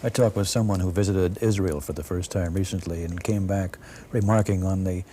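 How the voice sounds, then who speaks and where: A man with a low, mellow voice hosts an interview, phrasing long questions in a measured, slightly hesitant way. Faint hiss sits beneath the old studio recording. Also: steady tone. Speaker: television interviewer host.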